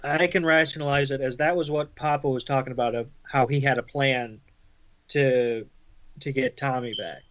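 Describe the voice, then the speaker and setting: A man's voice: mid-range voice, steady tone, moderate pace, a bit bright, muffled recording. A man chatting casually on a podcast panel, recapping and explaining things step by step. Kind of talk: casual episode recap discussion.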